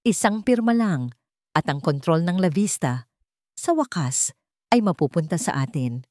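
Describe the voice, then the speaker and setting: A woman storyteller plays a wealthy cheating husband in an audio story. He is smug and scheming, with a confident speechmaking manner. The voice is low for a woman and recorded dry. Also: animated, a little slow, mellow, no echo.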